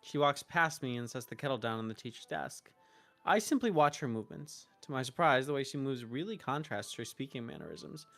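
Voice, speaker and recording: A woman streaming a visual novel, reading narration and dialogue aloud expressively, halting in places. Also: a bass voice, big pitch swings, vocal fry, fairly bright tone. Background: game music.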